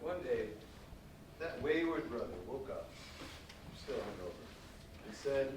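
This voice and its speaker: A man's voice: medium pitch, big pitch swings, slow. A man playing a storyteller who voices a generous, forgiving father in a theatrical staged reading. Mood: measured, tender. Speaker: narrator, also voicing the father. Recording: very echoey stage, distant mic, background noise.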